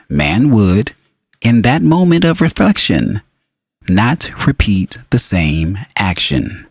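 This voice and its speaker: A man narrating a book as an audiobook reader, in deliberate, solemn phrases broken by frequent pauses. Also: lowish voice, a little mellow, no room sound, clean studio sound.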